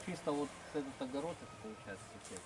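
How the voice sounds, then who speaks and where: A man explaining his craft casually in plain, halting phrases and short answers, recorded outdoors. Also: medium pitch, animated, crowd noise. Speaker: wood carver, guest showing his work. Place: outdoors at his garden plot. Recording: faint outdoor background noise.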